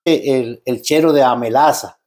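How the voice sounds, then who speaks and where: A man's voice: medium pitch, unhurried, a little mellow. A man in his fifties from Cuba with a Cuban accent, telling his story as an interview guest in a blend of Spanish and Portuguese with many restarts and tag questions. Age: 50s. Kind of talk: casual interview talk.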